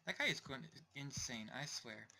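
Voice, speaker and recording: A young man casually narrating his game as he plays, rambling and talking to himself, his voice going a little hoarse. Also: mid-range voice, lively intonation, normal speed, bright, forceful tone, a little hiss or hum. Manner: rambling, self-talking, distracted asides. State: voice getting hoarse. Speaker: let's play host. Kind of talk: casual gameplay commentary.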